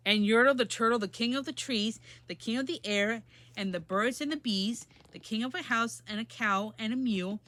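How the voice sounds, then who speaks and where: A woman's voice: a little high, moderate pace, a bit bright. Woman reading a children's story aloud. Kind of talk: children's storybook read-aloud with simple asides.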